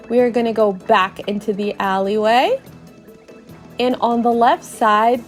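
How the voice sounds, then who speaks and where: A woman hosting a podcast, narrating a video game playthrough as an expressive story with playful asides. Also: on the high side. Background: music.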